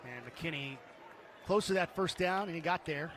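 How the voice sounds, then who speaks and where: A man's voice: a little high, normal speed, background noise. A man calling live football play-by-play as a sports commentator.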